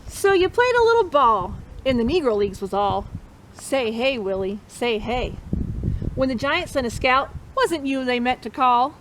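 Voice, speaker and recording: Woman, high voice, interactive picture-book read-aloud for kids, encouraging; slightly noisy recording. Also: big pitch swings, normal speed. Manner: encouraging, chanting refrains for listeners to repeat. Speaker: storytime host reading a picture book to children.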